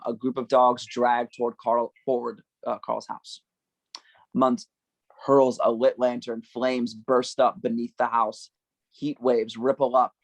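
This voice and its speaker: A man narrating a screenplay's stage directions at a table read, with a gravelly voice. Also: medium pitch, no room sound, low-quality recording.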